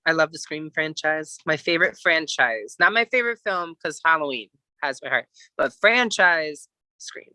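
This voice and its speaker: A man's voice: on the high side, expressive, normal speed, pushed and bright, dry recording. A man chatting casually as a podcast guest, informal, opinionated and emphatic. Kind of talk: casual chat.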